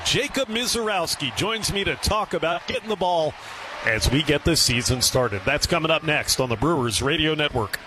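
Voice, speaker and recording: A man's voice: on the high side, noisy background. A man hosting a sports radio broadcast, with a polished announcing and interviewing style.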